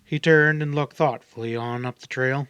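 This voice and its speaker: A man's voice: mid-range voice, fairly even intonation, normal speed, fairly bright tone, smooth, no echo. A man reading scripted story narration as an audiobook narrator.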